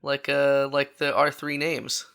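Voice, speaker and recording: A man's voice: mid-range voice, completely flat delivery, slow, creaky, a bit bright, clean tone. A young man co-hosting a comedy podcast, rambling and giggly in chat. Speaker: podcast co-host. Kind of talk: jokey banter.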